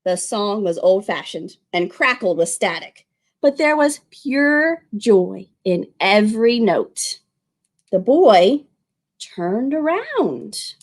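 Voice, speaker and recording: A woman reading a picture book aloud as a children's librarian, expressive, drawing out words and speaking to young listeners. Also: medium pitch, takes it slowly.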